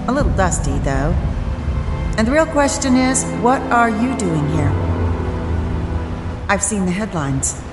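A woman's voice: medium pitch, sing-song, measured pace, pauses often. An actress playing a confrontational, dry-witted female police commissioner in an audio drama, with curt, pointed lines. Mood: dry, challenging. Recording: echoey cavern reverb, loud music.

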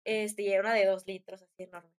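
A woman's voice: medium pitch, fast talker. A young adult woman with a Mexican accent telling stories casually, in a chatty, slangy way with acted-out bits of dialogue. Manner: chatty, informal, slangy, animated retelling with quoted dialogue. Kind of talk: casual storytelling chat.

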